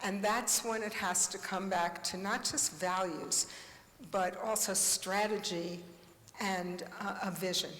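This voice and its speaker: A woman's voice: mid-range voice, steady tone, measured pace, fairly bright tone. A woman in her seventies, speaking on a conference panel in a passionate, blunt way with asides and short laughs. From United States. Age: 70s. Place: large conference hall. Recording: echoey hall with long reverb.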